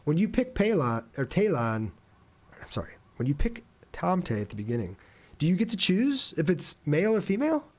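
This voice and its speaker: A man's voice: fairly high pitch, huge pitch swings, moderate pace, a little mellow. Casual man streaming a video game. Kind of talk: casual livestream gameplay commentary with chat replies.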